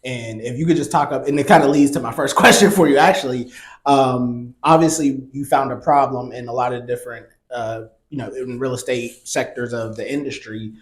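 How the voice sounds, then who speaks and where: A man hosting a podcast in a casual, chatty way, often pausing with "um" and repeating words. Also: medium pitch, reverberant. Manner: relaxed, chatty, many ums and repeated words. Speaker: podcast host.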